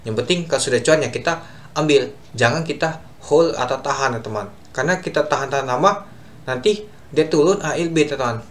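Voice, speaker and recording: A man's voice: medium pitch, a little fast. A young man casually talking viewers through stock charts on a screen share, addressing them as friends over and over, recorded at a computer in a small room. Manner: chatty, repeats "teman-teman" constantly, talks through charts. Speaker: stock market youtuber.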